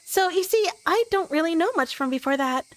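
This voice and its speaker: A woman voicing a sweet, dreamy, excitable girl from a visual novel, gushing and hesitant. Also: very high-pitched, a little fast, pitched above the performer's own voice. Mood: evasive. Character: sweet, dreamy, excitable girl who adores cute things.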